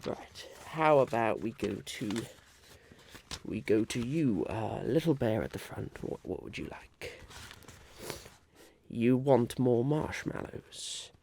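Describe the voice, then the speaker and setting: A man playing a polite, harried would-be businessman chairing a meeting in a soft-spoken, halting ASMR roleplay. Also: mid-range voice, animated, vocal fry, a little hiss or hum. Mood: flustered, polite. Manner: hesitant, halting, politely patient.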